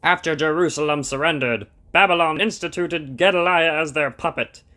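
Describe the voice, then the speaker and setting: A man's voice: on the high side, moderate pace, sharp tone. A man playing a treacherous, ambitious prince and captain in an audio drama, commanding and curt. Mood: scheming, confident.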